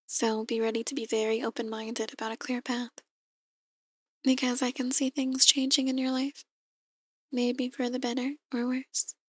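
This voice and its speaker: A woman playing a soft-spoken, ominous demon tarot reader in an ASMR roleplay, speaking in a measured, breathy near-whisper. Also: a little high, little pitch movement, no room sound. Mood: calm, solemn. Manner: slow, measured, gently ominous. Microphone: close, dry microphone.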